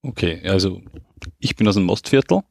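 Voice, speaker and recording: A young man from Austria, a company co-founder talking about his background in a relaxed, matter-of-fact way. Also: low voice, a little fast, creaky, dry recording, studio quality. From Lower Austria. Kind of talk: casual interview talk.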